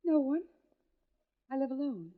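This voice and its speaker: A woman's voice: sits high, very animated, a little slow. An actress playing a lonely, sheltered and polite young woman in 1940s radio drama style. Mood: reserved. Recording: tinny narrow-band 1940s radio recording.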